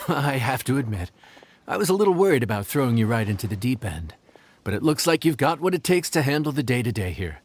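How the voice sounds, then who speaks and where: A man's voice: medium pitch, big pitch swings, fairly quick, a little hiss or hum, natural voice. A man voicing a friendly, encouraging, chatty restaurant worker in an anime game. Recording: music behind the voice.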